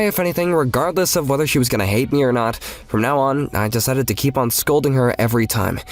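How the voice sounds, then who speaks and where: A man's voice: medium pitch, natural voice. A man playing an earnest, overprotective young step-brother who also narrates an anime-style romantic comedy dub.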